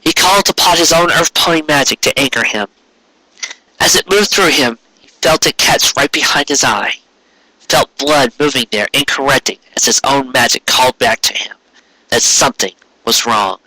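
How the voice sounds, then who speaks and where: A man reading a story aloud as an amateur narrator, in a dramatic, measured way with pauses. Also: mid-range voice, bright, forceful tone, dry recording, rough recording. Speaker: amateur audiobook reader.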